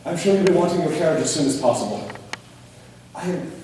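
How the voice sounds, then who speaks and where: A man acting a reserved, grave young gentleman in a stage play, speaking formally in a fairly high voice. The sound is distant and very echoey, as in a large hall. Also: expressive, a little hiss or hum, close to an everyday voice. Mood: grave concern. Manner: stiff, measured formality.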